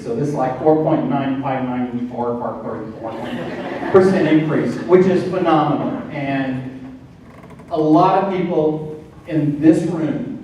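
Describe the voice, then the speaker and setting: A man in his fifties making an announcement at a staff meeting, halting and restarting his sentences. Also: fairly high pitch, dark tone, slight background noise. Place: large meeting room. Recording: echoey hall from far off.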